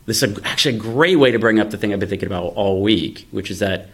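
A man's voice: fairly low pitch, fast talker. A man hosting a casual tech discussion, explaining ideas by thinking aloud and posing rhetorical questions. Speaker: podcast host, venture investor.

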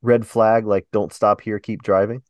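A man's voice: fairly low pitch, little pitch movement, normal speed, darkish tone, dry recording, studio quality. A man with a Southern American accent co-hosting a movie podcast, speaking hesitantly with many ums. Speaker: podcast co-host. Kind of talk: casual chat.

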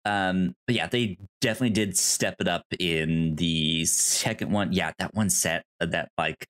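A man co-hosting a podcast in casual film discussion, halting and repeating words as he thinks aloud. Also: deep voice, a bit bright, smooth. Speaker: podcast co-host.

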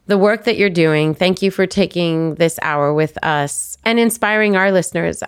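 A woman hosting a podcast, speaking in an earnest, measured and affirming way. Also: deep voice, clean tone. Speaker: podcast host. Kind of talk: podcast interview and outro.

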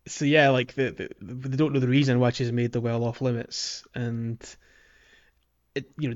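A man's voice: mid-range voice, clear, resonant tone. Chatty man on a lo-fi podcast mic. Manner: meandering, many fillers and restarts, laughs often. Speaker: podcast host. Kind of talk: casual gaming podcast chat.

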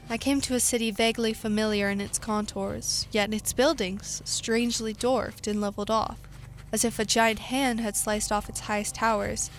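A woman playing a weary, haunted professor who survived an invasion, speaking solemnly and deliberately in a radio drama. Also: mid-range voice, close to an everyday voice. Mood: watchful, somber. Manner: solemn, literary, deliberate narration. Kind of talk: dramatic radio play monologue and dialogue.

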